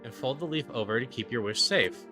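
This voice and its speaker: A man voicing a hesitant, defensive young traveler in a video game, speaking in a halting, drawn-out, unsure way. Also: medium pitch, lightly acted. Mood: halting, earnest. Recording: music behind the voice.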